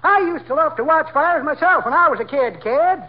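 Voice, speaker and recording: A man's voice: expressive, fairly quick. A man playing a talkative old-timer who spins boastful tall tales in folksy, ungrammatical speech on a 1940s radio comedy. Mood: folksy boasting. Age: older. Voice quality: high, aged-sounding character voice. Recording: dull, noisy 1940s radio recording.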